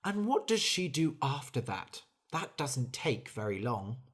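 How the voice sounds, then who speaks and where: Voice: medium pitch, big pitch swings, a little slow, breathy edge. A reader voicing a curious stranger in a storybook who keeps asking the bird about her home life. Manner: wondering, inquisitive.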